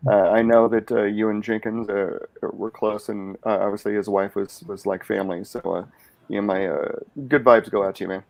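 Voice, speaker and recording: A man on a podcast call, a bit raspy, speaking haltingly with many pauses and dry humor. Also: lowish voice, level tone, darkish tone, dry recording. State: slightly raspy while recovering. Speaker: podcast co-host. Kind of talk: casual livestream chat.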